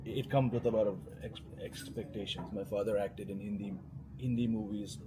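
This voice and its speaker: A man's voice: lowish voice, fairly even intonation, moderate pace, noisy background. A man with an Indian accent, born and raised in India, a cricket journalist chatting as a guest in a casual interview, wry and full of restarts and fillers.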